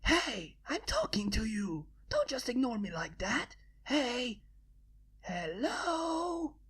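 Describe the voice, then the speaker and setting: A woman's voice: fairly high pitch, wildly swooping pitch, slow, fairly bright tone, husky, exaggerated voice. A woman voicing a brash, cocky young female pegasus pony in a fanfic reading. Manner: brash, demanding questions. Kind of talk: fanfic reading, all voices by one reader.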